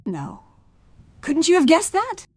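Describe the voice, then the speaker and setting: An actress playing a wronged, accusing wife in a crime radio play, speaking in a halting, bitter, insistent way. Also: female voice, extremely high pitch, huge pitch swings, no echo, exaggerated voice. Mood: accusing, anguished. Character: wronged, accusing wife confronting a treacherous family friend.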